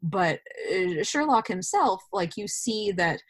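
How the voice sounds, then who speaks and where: A woman's voice: medium pitch, lively intonation, slow pace. A woman actor speaking as an interview guest, explaining her thoughts in a casual, reflective way.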